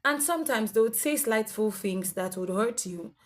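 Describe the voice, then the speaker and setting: A woman's voice: on the high side, animated, normal speed. A young woman giving earnest, instructive health advice to her viewers. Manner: earnest, instructive, with fillers and restarts. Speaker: health vlogger with sickle cell. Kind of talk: advice monologue to camera.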